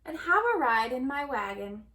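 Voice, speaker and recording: A woman's voice: high-pitched, sing-song, normal speed, pushed and bright, distant mic, exaggerated voice. A woman voicing a bossy, self-satisfied male bear from a children's storybook, with emphatic delivery. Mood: eager.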